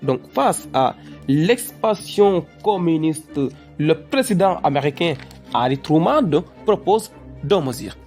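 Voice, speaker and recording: A performer in an educational cartoon plays an eager student explaining history to a professor in a halting, deferential way. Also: mid-range voice, lively intonation, darkish tone, soft background music. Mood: earnest. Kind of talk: educational cartoon dialogue, lecture-like recitation.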